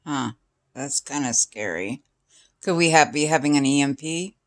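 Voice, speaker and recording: A woman's voice: extremely low pitch, animated, moderate pace. An older woman in casual chat, asking curious questions and giving short reactions. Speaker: interviewer questioning the other speaker.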